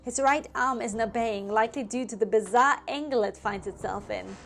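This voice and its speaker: A woman's voice: fairly high pitch, normal speed, gravelly, slight background noise. A woman storyteller reading a scripted tale in a theatrical, suspenseful manner. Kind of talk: dramatic scripted story narration. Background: music.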